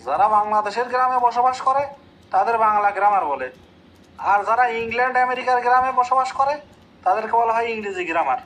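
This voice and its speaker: A man's voice: very high voice, brisk, a bit bright, a little hiss or hum, rough recording. A man voicing a cocky, glib job candidate who gives absurd, contrary answers in a comedy cartoon skit. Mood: cheeky, confident. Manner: glib, literal-minded, contrary.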